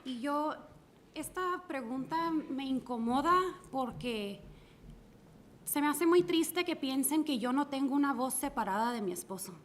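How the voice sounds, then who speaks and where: A woman's voice: high-pitched, normal speed, slight background noise. A woman answering as a school board candidate, earnest, switching between Spanish and English. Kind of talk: forum answer, personal and earnest.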